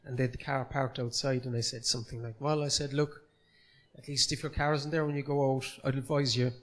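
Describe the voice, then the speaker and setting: A man's voice: medium pitch, little pitch movement, fairly quick, fairly bright tone. A man in his forties with a Cork accent, a comedian guest chatting on a live podcast, rambling through self-deprecating asides. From Cork City, Ireland. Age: 40s. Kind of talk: casual podcast chat. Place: comedy club, live recording.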